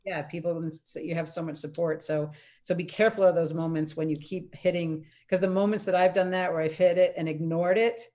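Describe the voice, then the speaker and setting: A woman with a deep voice, hosting a casual conversation and laughing easily. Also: steady tone, brisk, dark tone.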